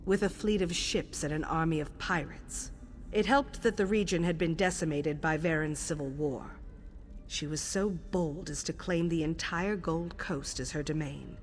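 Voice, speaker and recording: A woman voicing a composed, sardonic assassin guild superior in a video game, speaking in a measured, dryly wry, commanding way. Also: low voice, soft background music. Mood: dry, informative. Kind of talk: scripted video game quest dialogue.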